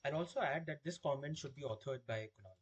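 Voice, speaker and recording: A man with a slightly husky voice giving a software demo, explaining things step by step as a product demonstrator. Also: medium pitch, fast talker.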